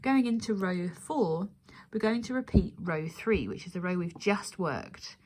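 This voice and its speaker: A woman's voice: lowish voice, lively intonation, a little slow, darkish tone, poor audio. A woman hosting a crochet tutorial, giving step-by-step instructions and counting aloud as she goes.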